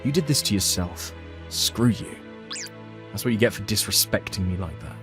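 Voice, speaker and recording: A man's voice: on the low side, animated, normal speed, music in the background, lightly acted. A man playing a hardworking, put-upon eldest brother in a manga story, blunt and indignant. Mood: fed up, indignant.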